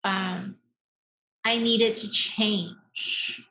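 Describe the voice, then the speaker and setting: Woman late 30s on a podcast, bright forceful voice, earnest, telling her own life story. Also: mid-range voice, steady tone, drawn-out words, creaky, slight background noise, low-quality recording. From born in America, raised in Taiwan. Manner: earnest, emphatic, uses rhetorical tags like "right?". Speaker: podcast guest, mindset coach. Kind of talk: personal storytelling in an interview.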